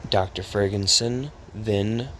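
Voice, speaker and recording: A man narrating an amateur horror story in a measured storytelling manner, with slight stumbles. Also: lowish voice, fairly bright tone, a little hiss or hum, low-quality recording. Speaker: narrator and voice actor of a fan horror dub.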